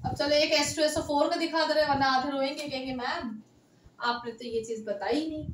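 A young woman teaching chemistry at a whiteboard through a headset mic, checking in with students often as her voice tires and goes hoarse. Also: sits high. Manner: talks straight to students, coaxing and checking in. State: voice tiring and going hoarse. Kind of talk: classroom lecture at a whiteboard. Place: small indoor room by a whiteboard. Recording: echoey room with steady background noise.

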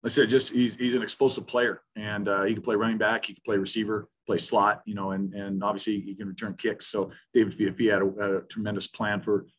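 Blunt, halting coach on a video call. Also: male voice, fairly low pitch, flat delivery, slightly rough, low-quality recording. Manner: blunt, halting, with many restarts and fillers. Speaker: head football coach. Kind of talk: post-game press conference. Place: small room in front of a sponsor backdrop.

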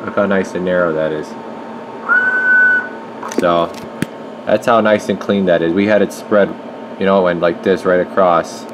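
A man's voice: sits low, fairly even intonation, measured pace, long pauses, heavy background noise. A man giving a casual hands-on demonstration as a repair technician, thinking aloud in informal workbench slang in an indoor workshop, recorded on a handheld camera. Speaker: radio repair technician presenting his work. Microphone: handheld camera, speaker behind it.

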